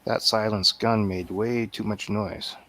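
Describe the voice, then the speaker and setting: A man with a fairly low voice banters about films. He keeps it casual, tossing out short, blunt remarks as one of a panel. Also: steady tone, a little slow, fairly bright tone. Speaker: film review show panelist.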